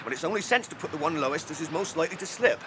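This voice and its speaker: An actor playing a loyal, grumbling hobbit servant in a radio drama, blunt and homely. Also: male voice, a little high, expressive, very fast, background noise, close to an everyday voice. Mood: dogged. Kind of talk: 1980s British radio drama acting.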